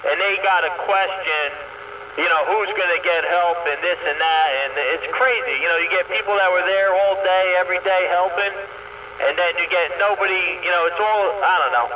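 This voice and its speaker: A man's voice: high voice, normal speed. A man chatting casually over CB radio, blunt and given to swearing. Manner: blunt, profane. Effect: CB radio transmission. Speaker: CB radio operator. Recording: muffled, very noisy CB radio, distant.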